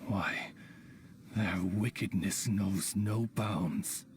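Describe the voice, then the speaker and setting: A gallant, old-fashioned gentleman from a game, earnest and florid. The voice is fairly low and moves at a stately, slow pace. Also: fairly even intonation, noisy background. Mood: earnest, indignant. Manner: formal, florid. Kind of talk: video game voice acting.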